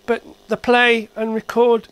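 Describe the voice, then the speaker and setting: A woman narrating a hands-on repair demonstration casually, thinking aloud in short, halting phrases. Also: a little high, completely flat delivery, smooth, no echo. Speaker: hobbyist repair video maker. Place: indoor workshop at a workbench.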